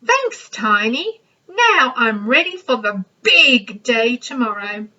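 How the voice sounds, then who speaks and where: A woman voicing a cheerful, confident young female hippo in a storybook, with exuberant, drawn-out exclamations. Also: on the high side, big pitch swings, sharp tone, highs cut off, heavily acted. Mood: carefree.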